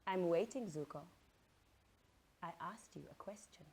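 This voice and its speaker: A woman's voice: lowish voice, measured pace, darkish tone, a little hiss or hum, voice pushed down. A woman voicing an outspoken, emphatic mother in a story reading, firm with both anger and tenderness in her. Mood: stern, probing. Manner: firm, emphatic, patient with child.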